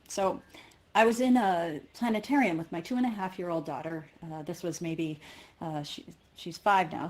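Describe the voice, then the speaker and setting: A woman's voice: low voice, a little mellow. A woman giving a conference keynote with personal anecdotes, thinking aloud and often rephrasing as she goes. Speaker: keynote speaker.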